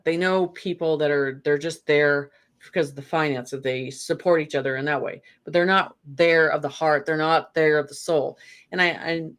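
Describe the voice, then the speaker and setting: A guest speaking earnestly in a casual chat, rambling with many fillers and restarts. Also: mid-range voice.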